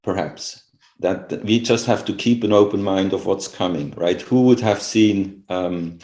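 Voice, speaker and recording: A man's voice: sits low, a little slow, a little mellow. A middle-aged Swiss man with a Swiss accent, not a native English speaker, answering interview questions in a thoughtful, conversational way with frequent "you know" and "right?" asides. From Switzerland. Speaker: interviewed scientist. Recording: echoey room.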